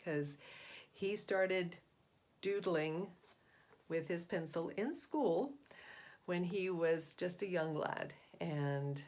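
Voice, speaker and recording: A middle-aged woman with a slightly low voice presents picture books to young listeners. She talks informally, in an explanatory way that addresses the children directly. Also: very slow, long pauses, a little mellow. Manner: explanatory, asks listeners questions. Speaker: read-aloud presenter.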